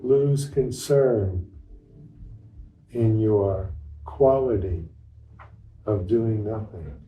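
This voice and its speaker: A man leading a guided meditation as a teacher, speaking gently and without hurry in short phrases with long pauses. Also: fairly low pitch, phone-quality sound. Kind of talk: guided meditation, unscripted and instructional. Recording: roomy echo, distant microphone.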